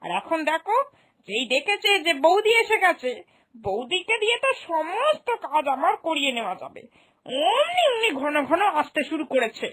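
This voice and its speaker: A woman playing an exasperated, sharp-tongued older mother and mother-in-law in a cartoon moral story, scolding with reproachful questions. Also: very high-pitched, very animated, moderate pace, heavily acted. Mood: complaining.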